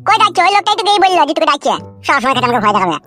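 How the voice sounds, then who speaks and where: A simple, cheeky cartoon errand boy, voiced by a woman pipes up in a high, swooping voice. He is whiny and naive and gabbles very quickly over music. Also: very animated, bright, forceful tone, heavily acted. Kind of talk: Bengali comedy cartoon dialogue. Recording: music behind the voice.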